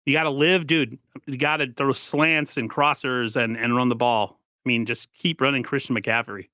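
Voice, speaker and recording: A man co-hosting a casual sports livestream, talking in halting, slangy bursts while he hunts for words. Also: medium pitch, steady tone, pushed and bright, dry recording, lo-fi sound. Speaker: podcast co-host.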